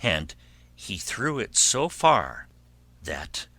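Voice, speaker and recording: A man narrating a children's fairy tale as a storyteller, in a measured and expressive way. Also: lowish voice, dry recording.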